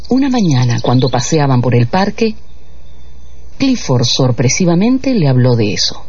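A man's voice: medium pitch, sing-song, moderate pace, darkish tone, slight background noise. A man narrating a radio novel in a scripted, dramatic literary style. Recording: dull radio sound, music behind.

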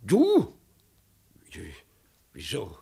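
An actor playing an old emperor and father in a 1950s German radio play, halting, indignant and pious. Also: on the high side, huge pitch swings, gravelly, mellow, breathy edge, full character voice. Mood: uneasy, halting. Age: older.

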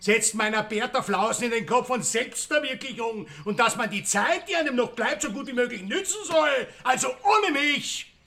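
Creaky, high-pitched bitter Austrian killer. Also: male voice, animated, normal speed, few pauses, sharp tone, full character voice. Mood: hysterical rage. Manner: indignant, sneering, ranting. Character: bitter cornered village murderer, husband abandoned by wife. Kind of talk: radio crime drama acting.

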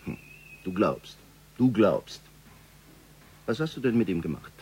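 An actor playing a domineering, sceptical boss with a husky voice, questioning mockingly in a radio play. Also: male voice, lowish voice, expressive, a little slow, darkish tone, full character voice. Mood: mocking, probing.

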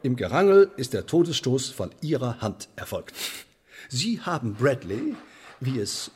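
Pompous, animated sheriff. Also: male voice, mid-range voice, measured pace, husky, heavily acted. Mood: menacing, smug. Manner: pompous, pedantic, bureaucratic. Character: pompous, officious English sheriff interrogating a suspect. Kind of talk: radio play dialogue.

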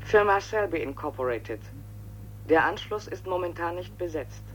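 An actor voicing an impersonal telephone answering machine announcement in a radio drama. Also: male voice, on the high side, lively intonation, normal speed. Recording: very noisy, humming telephone line.

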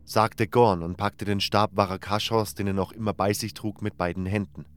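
A man's voice: on the low side, steady tone, moderate pace, clean tone. A man narrating a scripted fantasy adventure story in the first person.

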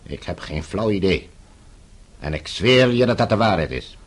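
An actor playing a calmly menacing middle-aged official in a radio play, measured, polite and condescending. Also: male voice, deep voice. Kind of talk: Dutch radio play acting.

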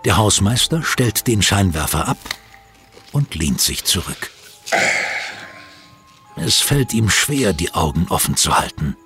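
A man's voice: sits low, big pitch swings, slow, pauses often, fairly bright tone, faint music behind. A man reading scripted storytelling narration for an audio drama.

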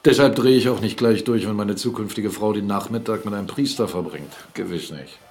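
A middle-aged man acting a gruff, commanding, sardonic captain and jealous fiancé in a German radio drama, mocking and blustering. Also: lowish voice, a little fast, a little mellow, close to an everyday voice. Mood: jealous, threatening. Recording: studio radio drama with music underneath.

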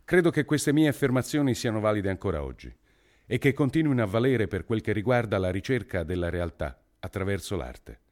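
A man playing an earnest writer who gives a formal public speech in a measured, deliberate, rhetorical way. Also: lowish voice, expressive, a little mellow, lightly acted. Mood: solemn, earnest. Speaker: actor reading a writer's speech. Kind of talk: declamatory dramatic monologue, formal speech.

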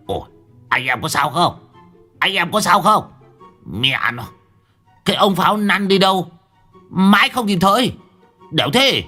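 A man's voice: sits low, very animated, slow, pauses often, a bit bright, soft background music, full character voice. A man voicing an old prankster of a host who curses and bluffs after a mishap. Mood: frantic shouting. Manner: brash, cursing, flustered. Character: old prankster host, brash and foul-mouthed.

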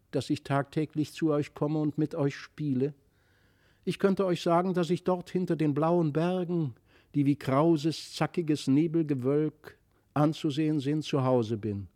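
A man voicing a mysterious, gentle fairy child in an earnest, lyrical way for a storybook reading. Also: fairly high pitch, no echo, studio quality, lightly acted. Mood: wistful, earnest. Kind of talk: literary audiobook reading.

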